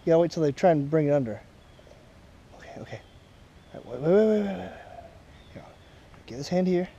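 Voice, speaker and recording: A man's voice: fairly high pitch, lively intonation, fast talker, vocal fry, warm tone, highs cut off, slight background noise. A young father, patiently coaching his son while fishing at a pond, recorded on a body-worn camera outdoors. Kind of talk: casual chat while fishing. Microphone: body-worn action camera.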